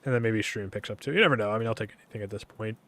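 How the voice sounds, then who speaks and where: A young man streaming a game, talking in a casual, rambling way with a monotone delivery, full of fillers and restarts. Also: on the low side, very fast. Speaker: gaming streamer.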